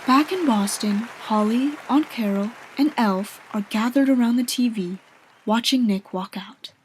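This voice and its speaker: A woman's voice: a little high, measured pace, a faint crowd, a little hiss or hum, low-quality recording. A woman reading scripted narration for a radio drama.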